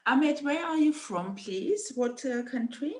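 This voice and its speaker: A woman's voice: high voice, animated, takes it slowly, darkish tone, roomy sound. A woman hosting a webinar, moderating participants with brief encouraging affirmations. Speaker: webinar host and facilitator. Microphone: video call.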